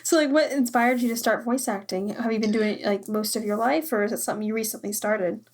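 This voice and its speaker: Upbeat higher-voiced woman interviewer. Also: fairly even intonation, fast talker, darkish tone. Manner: upbeat, laughs often. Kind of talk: casual interview.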